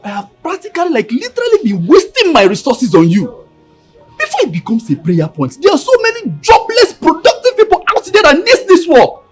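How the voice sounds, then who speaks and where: A woman's voice: huge pitch swings, fairly quick, soft background music, exaggerated voice. A woman playing a stern male restaurant manager who scolds and fires a clumsy worker. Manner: stern, scolding, low put-on voice.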